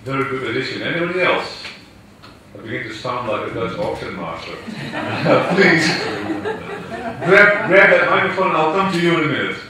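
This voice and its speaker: Brisk male moderator in an echoey hall. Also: fairly high pitch, lively intonation, a crowd in the background. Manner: brisk, prompting and joking. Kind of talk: moderating a conference panel. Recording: echoey hall, distant mic.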